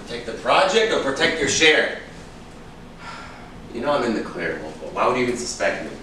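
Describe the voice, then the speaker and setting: A man's voice: medium pitch, big pitch swings, fairly quick, pushed and bright. A man playing a cool, defiant screenwriter with a sardonic, challenging manner in a staged reading. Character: cool, defiant male screenwriter suspected of violence. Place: room at a live staged reading. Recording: echoey room, distant mic, noisy.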